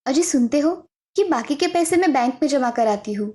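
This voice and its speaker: A woman voicing a determined, respectful young village daughter-in-law in an animated story, earnest and polite but firm. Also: high-pitched, moderate pace. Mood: proud. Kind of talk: Hindi animated moral story dubbing.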